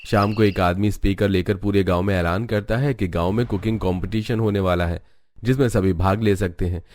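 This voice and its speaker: A man with a fairly low voice narrates a cartoon story. His delivery is scripted narration, told from outside the characters as a storyteller. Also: fairly even intonation, fairly quick, darkish tone.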